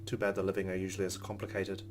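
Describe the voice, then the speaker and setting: Casting: male let's play host, fairly low voice, mixes narration reading with casual remarks over music. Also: monotone, rapid-fire. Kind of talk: reading game narration aloud with casual commentary.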